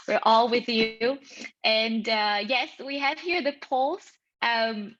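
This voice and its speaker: A cheerful woman hosts an online event over a video call, chatting freely with plenty of fillers. Her voice sits a bit high and rings bright. The call audio is muffled. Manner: upbeat, chatty, many fillers. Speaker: webinar moderator. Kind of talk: casual online event hosting.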